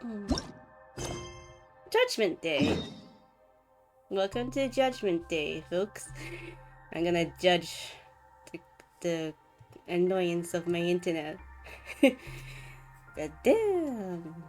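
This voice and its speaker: A woman's voice: fairly low pitch, very animated, drawn-out words, pauses often, a little mellow. Casual woman game streamer, music behind her. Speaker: livestreamer hosting a game stream. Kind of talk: casual live stream chat. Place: live game stream from an indoor setup.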